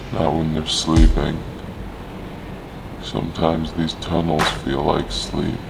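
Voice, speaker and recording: A man narrating in the first person as a haunted, bitter drifter from abandoned subway tunnels, deadpan and cynical, in short fragments. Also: rumbling low, creaky, noisy background, exaggerated voice. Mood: brooding, bleak. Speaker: audiobook narrator in character.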